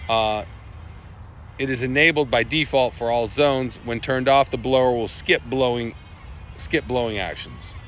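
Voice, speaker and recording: A man's voice: mid-range voice, measured pace, fairly bright tone, slight background noise. A man hosting a casual live walkthrough of product updates, talking loosely with lots of fillers and restarts. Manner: loose and rambling, with many fillers, restarts and asides. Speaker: livestream host presenting product updates.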